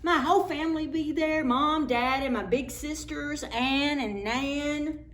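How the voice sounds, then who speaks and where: A woman doing an excitable grumpy young ape. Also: sits high, measured pace, pitched above the performer's own voice. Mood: excited. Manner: eager, exclaiming, pouty.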